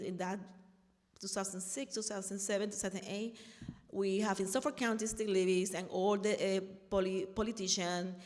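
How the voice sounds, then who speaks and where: A woman's voice: medium pitch, long reverb tail. A middle-aged woman from Puerto Rico with a Puerto Rican Spanish accent, earnestly telling her story at a panel and often restarting while she looks for words. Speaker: panel speaker, artist and activist. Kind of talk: personal talk at a panel.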